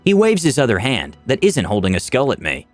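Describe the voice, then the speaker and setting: A man's voice: mid-range voice, wildly swooping pitch, a little fast, no room sound. A man narrating a scripted fantasy adventure story in the first person, with dramatic delivery. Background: music.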